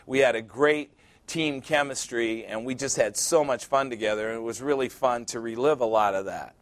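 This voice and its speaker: A man in his sixties preaching a sermon, emphatic and repetitive, building to loud peaks. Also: mid-range voice, moderate pace. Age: 60s.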